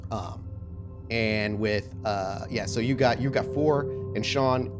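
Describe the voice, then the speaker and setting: A man's voice: lowish voice, slow pace, over music. A man running a tabletop roleplaying game as game master, narrating scenes descriptively and conversationally.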